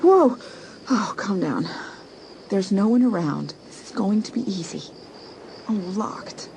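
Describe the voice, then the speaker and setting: A woman's voice: mid-range voice, animated, slow, darkish tone, a little hiss or hum, poor audio. A woman playing a scheming, competitive, jealous teenage girl in a dramatised audiobook, talking to herself in theatrical exclamations. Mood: nervous. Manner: theatrical, exclamatory self-talk. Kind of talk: dramatised audiobook acting, thinking aloud.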